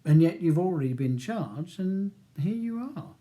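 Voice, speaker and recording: A man voicing a composed, courteous barrister in his thirties, polite, measured and persuasive, in an audiobook. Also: high-pitched, lively intonation, dark tone, voice pushed up. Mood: brisk, probing. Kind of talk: audiobook character dialogue.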